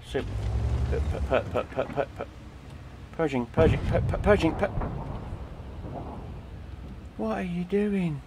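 A man's voice: fairly high pitch, expressive, measured pace, long pauses, noisy background. A man in his thirties with a Yorkshire accent, giving chatty, joking commentary on a tank game from a small room at home. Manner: chatty, jokey, sings and hums along. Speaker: gaming streamer. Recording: game sound under the voice.

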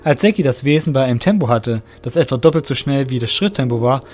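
A man reading scripted narration for an audio drama in a measured storytelling way. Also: medium pitch, animated, darkish tone, soft background music.

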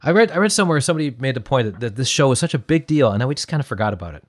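A man's voice: mid-range voice, sing-song, rapid-fire. A man co-hosting a podcast, giving casual opinions in a wry, blunt way. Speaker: podcast co-host.

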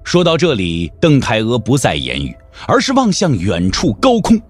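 A man's voice: medium pitch, wildly swooping pitch, moderate pace, slightly rough, faint music behind. A man narrating a martial arts audio drama in a scripted, dramatic storytelling style.

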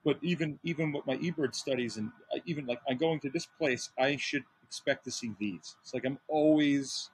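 A man's voice: moderate pace, hardly any pauses, a little hiss or hum, low-quality recording. A man, talking animatedly on a video call from home, stumbling and restarting as his voice jumps up. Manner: animated, restarts, pitch jumps up when stressing. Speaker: birding podcast co-host. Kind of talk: casual chat. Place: home room with painting. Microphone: video call webcam.

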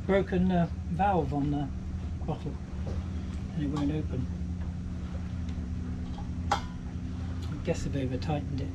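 A man's voice: a little high, takes it slowly, long pauses, background noise. A man in his sixties casually explaining chores on his catamaran in a vlog. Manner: chatty, explains tasks while working. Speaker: sailing vlogger. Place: on a catamaran and ashore, outdoors.

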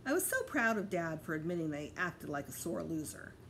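Female storyteller, middle-aged, lively intonation, expressive read-aloud for children, slight room noise on a home recording. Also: lowish voice, fairly quick. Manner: careful, expressive reading with voiced dialogue. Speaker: teacher reading aloud to pupils.

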